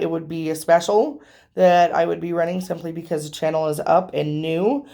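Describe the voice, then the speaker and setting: A woman hosting a casual tarot vlog who rambles chattily to her viewers, sounding hoarse and a little nasal from allergies. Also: fairly low pitch, mellow. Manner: rambling, chatty, with frequent ums. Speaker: tarot reader and channel host.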